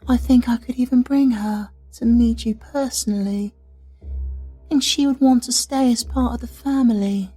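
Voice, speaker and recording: A woman playing a haughty, menacing demon warrior in a fantasy audio drama, husky and deliberate. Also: fairly high pitch, dark tone, soft background music. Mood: eager. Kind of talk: fantasy audio roleplay voice acting.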